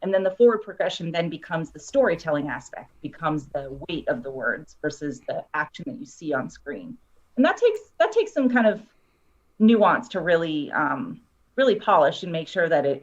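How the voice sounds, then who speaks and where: A woman's voice: low-pitched, big pitch swings, moderate pace. A woman guest explaining her work in casual talk over dull, lo-fi call audio. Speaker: guest, screenwriter and story analyst. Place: online video call.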